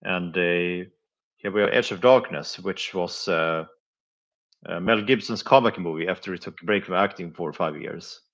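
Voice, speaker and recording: A man with a deep, low-pitched voice talks casually to viewers on a live stream. He speaks haltingly, filling gaps with small sounds and quiet chuckles. The recording sounds dull and slightly muffled. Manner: hesitant, many filler sounds, chuckles often. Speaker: live streamer reviewing flea market finds.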